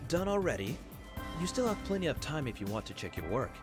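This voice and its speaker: A male actor plays a cheerful professor in a visual novel game, teasing the class as he talks. The voice sits a little high and swings widely in pitch. Music plays clearly behind it. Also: sing-song, quick pace. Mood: mildly surprised.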